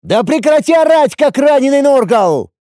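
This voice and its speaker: A man's voice: very high voice, big pitch swings, moderate pace, mellow, no room sound, clean studio sound, voice pushed up. A man voicing an irritated, disbelieving fortress commander in a fantasy audiobook, barking his words. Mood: irritated, shouting.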